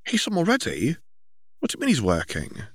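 Man voicing a spirited, high-pitched teenage girl. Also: wildly swooping pitch, a little fast, slightly rough, no room sound, full character voice. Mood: surprised, questioning. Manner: blunt, interrupting. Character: teenage girl, spirited, cheeky younger sister.

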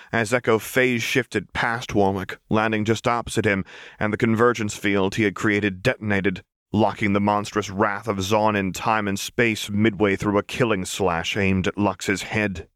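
A man reading an audiobook, narrating dramatic fiction in a measured storyteller's delivery. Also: lowish voice, fairly bright tone, dry recording, studio quality.

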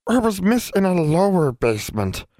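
A man voicing a wizened old female house elf servant, anxious and stern, high-pitched and speaking of herself in the third person. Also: wildly swooping pitch, a little slow, no echo, clean studio sound, heavily acted. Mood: panicked.

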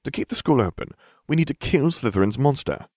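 A man's voice: medium pitch, expressive, a little fast, a little mellow, no room sound, studio quality, voice pushed up, lightly acted. A man voicing a smug schoolboy wizard. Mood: scheming. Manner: haughty, self-satisfied.

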